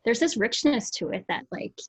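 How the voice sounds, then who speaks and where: A middle-aged woman with a husky voice, co-hosting a casual chat on a video call. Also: fairly high pitch, lively intonation, normal speed, no room sound. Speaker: co-host. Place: indoor room, on a video call.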